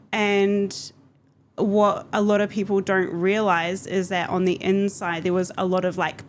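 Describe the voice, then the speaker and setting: Female, New Zealand accent, mid-range voice, reflective interview storyteller, slight noise behind her. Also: medium pitch. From Auckland, New Zealand. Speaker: podcast guest, business coach.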